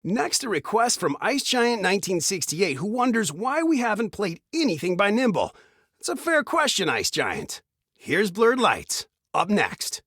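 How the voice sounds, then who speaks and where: An actor playing an upbeat retro radio DJ in a video game, with a smooth announcer's delivery of scripted patter. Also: male voice, sits high, huge pitch swings, measured pace, fairly bright tone, no room sound, clean studio sound, heavily acted. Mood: upbeat, informative.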